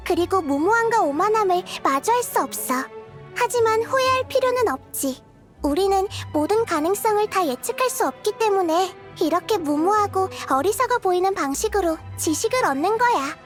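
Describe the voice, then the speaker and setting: A woman voicing a gentle, curious little girl deity of wisdom in a game, soft-spoken and thoughtful, as if kindly explaining. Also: extremely high pitch. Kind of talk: scripted game character voice lines. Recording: music behind the voice. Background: background music.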